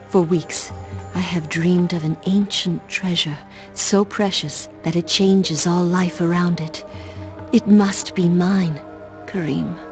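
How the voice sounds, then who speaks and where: An actress playing a seductive, scheming woman in a video game, with a slow, controlled, theatrical delivery. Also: on the low side, darkish tone. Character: seductive, scheming woman, later ghastly and ravaged. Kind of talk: video game cutscene acting. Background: music.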